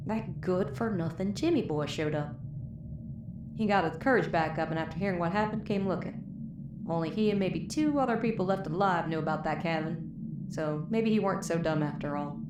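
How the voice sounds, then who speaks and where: A woman's voice: lowish voice, lightly acted. A woman playing a tough, bitter, foul-mouthed wasteland moonshiner, wounded and weary, telling her story with a Southern US accent in an audio drama. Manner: folksy, profane, weary storytelling. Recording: roomy echo, clear background noise.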